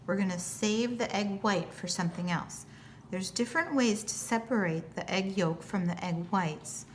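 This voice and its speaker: A woman's voice: medium pitch, moderate pace. An American woman giving patient, step-by-step cooking instruction in simple, plain terms. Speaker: teacher giving a cooking demonstration. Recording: noticeable background noise.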